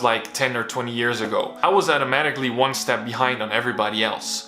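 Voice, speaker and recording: A man's voice: on the high side, sharp tone. A young man raised in the Netherlands with Bosnian as his first language, earnestly telling his own story in a candid, casual vlog. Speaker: vlogger. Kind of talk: personal motivational story. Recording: roomy echo.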